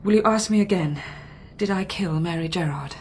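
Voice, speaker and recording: A woman's voice: lowish voice, normal speed, darkish tone, a little hiss or hum, lightly acted. An actress playing a tense, guarded young upper-class woman in a radio drama, clipped and haunted.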